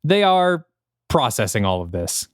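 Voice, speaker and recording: A man reading scripted screenplay narration for an audio drama in an animated, descriptive manner. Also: medium pitch, measured pace, dry recording, clean studio sound.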